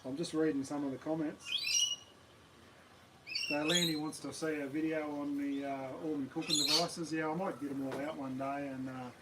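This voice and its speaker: A man with an Australian accent and a slightly croaky voice, chatting casually and rambling on a live stream from his backyard. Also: on the high side, normal speed, heavy background noise. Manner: rambling, relaxed, many ums and uhs. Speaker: camping channel host. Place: backyard outdoors.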